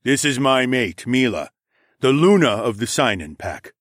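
A man's voice: mid-range voice, sing-song, a little slow, no echo, studio quality, voice pushed up. A man voicing a protective, stubborn male werewolf pack leader in an audiobook, hesitant and placating in manner. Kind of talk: audiobook character dialogue.

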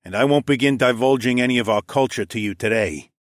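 A man's voice: mid-range voice, quick pace, dry recording, close to an everyday voice. Male wolf shapeshifter , acted by a man: guarded, territorial, formally challenging. Fantasy audiobook, dry sound. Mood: stern, angry.

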